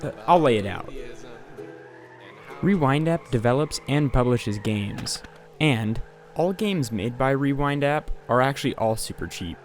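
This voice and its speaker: Young man for voice-over game review: sarcastic, profane, mid-pitched, soft music bed. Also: expressive, a little slow. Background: faint music.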